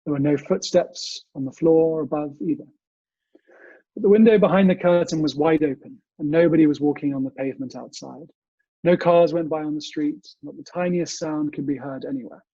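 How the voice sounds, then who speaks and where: A man, a guest reader who chats casually and reads storybooks aloud in a measured, expressive way over a video call. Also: on the high side, breathy edge. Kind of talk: storybook reading and casual chat. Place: indoors, remote online session. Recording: muffled, echoey video call audio.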